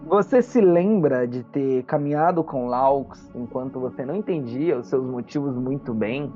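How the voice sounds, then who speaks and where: A mellow, warm-toned game master narrates a tabletop fantasy game on the fly. The phrasing is explanatory and halting. The sound is a little muffled, with faint music behind. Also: medium pitch.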